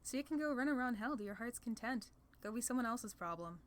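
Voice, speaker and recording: A woman's voice: fairly high pitch, expressive, fast, natural voice. A woman playing a haughty, sly female demon lord in an ASMR roleplay, condescending and playfully theatrical. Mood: scornful.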